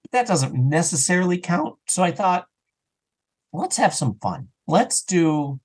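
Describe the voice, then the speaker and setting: A man chatting casually and enthusiastically as an interview guest, rambling and animated, sometimes acting out bits of dialogue. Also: medium pitch. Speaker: interview guest, audio drama writer and actor.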